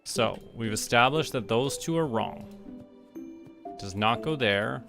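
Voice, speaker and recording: A man from Canada doing casual gameplay commentary, thinking aloud to himself in short, unhurried remarks. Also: mid-range voice, gravelly. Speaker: livestreamer playing a farming game. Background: game music.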